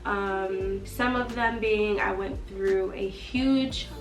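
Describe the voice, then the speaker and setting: A woman's voice: mid-range voice, takes it slowly, far from the mic. A young woman talks casually and animatedly to her viewers, her voice a little nasal from allergies. She sits a little away from the microphone, in a room with a noticeable echo and faint music underneath. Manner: chatty, animated, acts out her own past reactions. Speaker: beauty vlogger. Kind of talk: casual chat to camera. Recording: roomy echo, slightly off-mic, faint music.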